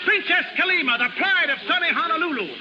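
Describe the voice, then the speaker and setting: Brash high-pitched carnival barker, old radio. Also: male voice, fast. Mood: rousing showmanship. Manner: commanding, punchy showman patter. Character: brash, gruff male carnival barker and show boss. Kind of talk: 1930s radio acting. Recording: muffled 1930s radio recording with music.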